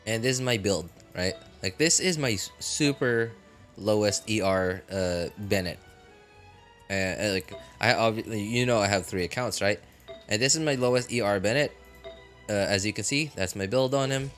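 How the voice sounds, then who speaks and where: A man talking casually on a live game stream in a rambling, halting way, often correcting himself. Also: on the low side, a bit bright, faint music behind.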